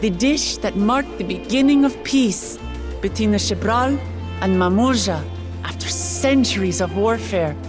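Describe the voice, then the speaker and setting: An actress brings to life an earnest young woman heir stepping up as ruler, her voice sitting at an everyday middle pitch. She speaks with heartfelt sincerity to her people, steady and open, with orchestral game music swelling behind her. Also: a little slow, natural voice. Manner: heartfelt, earnest public speech. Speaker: new ruler giving an ascension speech. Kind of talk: video game cutscene voice acting.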